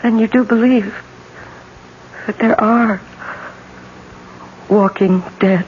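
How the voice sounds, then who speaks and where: Slow, high-voiced frightened young wife. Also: female voice, steady tone. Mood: fearful. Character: frightened, doubting newlywed wife. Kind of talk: 1970s radio drama acting. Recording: dull, noisy old radio broadcast.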